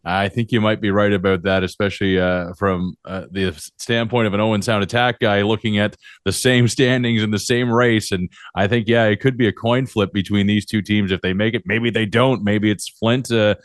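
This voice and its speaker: A man's voice: lowish voice, fairly even intonation, moderate pace, hardly any pauses, no room sound, studio quality. A man hosting a sports podcast, interviewing guests and addressing listeners. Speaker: podcast host.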